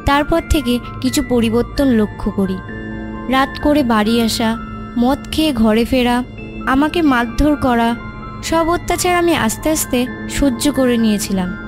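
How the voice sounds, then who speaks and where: Actress as young woman's ghost, high voice, plaintive, remorseful, dramatic cartoon delivery, music behind. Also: unhurried, close to an everyday voice. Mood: sorrowful. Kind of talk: dramatic audio story acting. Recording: music under the voice.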